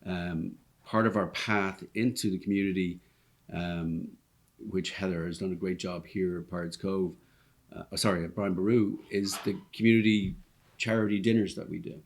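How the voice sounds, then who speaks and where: A man's voice: sits low, fairly even intonation, normal speed. A man in his fifties with a Dublin Irish accent, a restaurant owner telling his story in an easygoing, rambling way with plenty of ums. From Ireland. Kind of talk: casual interview chat. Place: inside one of his restaurants.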